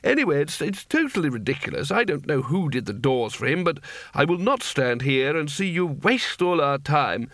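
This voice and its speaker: A man's voice: fairly high pitch, very animated, moderate pace, fairly bright tone, dry recording. A man voicing a fussy, disapproving storybook wizard who speaks in a prim, snappish way and is easily outraged. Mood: indignant. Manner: prim, snappish, stammers with indignation.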